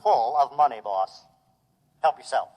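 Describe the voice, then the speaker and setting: A man's voice: mid-range voice, animated, measured pace, darkish tone. A man playing an earnest, deferential robot in a 1950s radio drama, modest and matter-of-fact as he serves his boss. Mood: calm, obliging. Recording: vintage radio, long reverberant ring.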